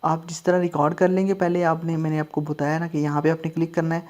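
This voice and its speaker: A man's voice: on the high side, flat delivery, fast talker, a little mellow. Man giving a casual app tutorial. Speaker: host of a tech tutorial channel.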